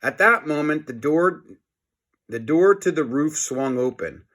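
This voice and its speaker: A man's voice: on the high side, animated, measured pace, fairly bright tone. A man reading a children's story aloud, with casual asides in the manner of a teacher.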